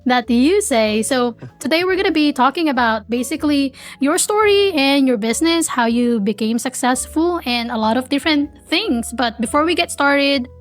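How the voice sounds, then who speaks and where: A woman from the Philippines hosting a podcast interview over a video call, chatty and rambling. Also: high voice. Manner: chatty, rambling, with repeated restarts. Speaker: podcast host.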